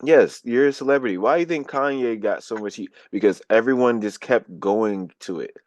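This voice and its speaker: A man's voice: mid-range voice, moderate pace, darkish tone, no echo. A young American man co-hosting a podcast, talking bluntly and profanely in a casual chat. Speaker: podcast co-host.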